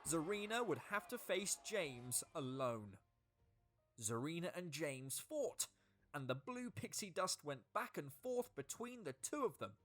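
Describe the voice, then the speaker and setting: Slow, animated woman storyteller. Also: deep voice. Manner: expressive, dramatic. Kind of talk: scripted children's storybook narration. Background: music.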